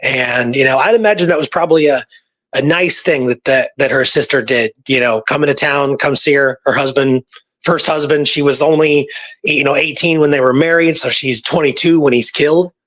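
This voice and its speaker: A man's voice: mid-range voice, normal speed, fairly bright tone. A man hosting a history podcast, conversational and speculative, reading from old records and often checking in with his listener. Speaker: podcast host presenting historical research.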